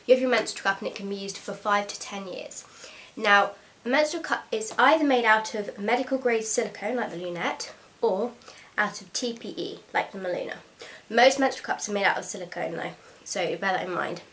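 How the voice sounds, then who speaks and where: Young woman, slightly hoarse from a cold, frank and chatty vlogger, mid-range voice. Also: mid-range voice, a bit bright. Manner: chatty, frank and practical, with frequent ums. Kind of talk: casual instructional vlog.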